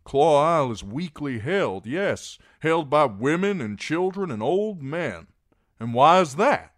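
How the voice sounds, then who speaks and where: A man's voice: on the high side, big pitch swings, slow, no echo, clean studio sound, pitched above the performer's own voice. A man voicing an earnest, blunt knight in an audiobook, arguing in an insistent, impassioned way. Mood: impassioned, pleading. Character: earnest, blunt, plain-spoken male knight pleading for mercy.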